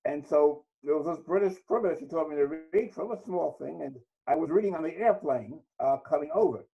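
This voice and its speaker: A man's voice: on the high side, lively intonation, brisk, vocal fry, dull audio. An older man from Trinidad, a guest author, telling his life story in a reflective interview. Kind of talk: interview conversation.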